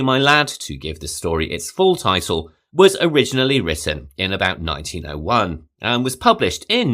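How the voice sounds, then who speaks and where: Man, deep voice, lively rise and fall, measured scripted delivery. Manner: measured, reading from notes. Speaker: podcast host. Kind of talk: scripted podcast narration.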